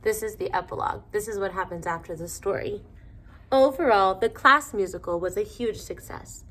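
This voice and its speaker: A woman reading a children's storybook aloud in a deliberate, expressive manner. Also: mid-range voice. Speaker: storybook reader.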